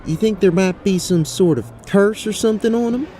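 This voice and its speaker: A man's voice: sits high, fairly quick, dark tone, slight background noise, close to an everyday voice. A man voicing a polite, prim young female student in a fantasy audio drama. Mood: puzzled.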